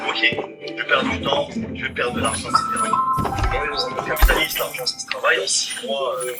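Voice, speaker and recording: A man's voice: mid-range voice, level tone, fairly quick. A man giving a motivational talk about taking action, hesitating and repeating his words with many fillers. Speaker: entrepreneur giving advice. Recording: distant video playback with loud music. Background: loud music.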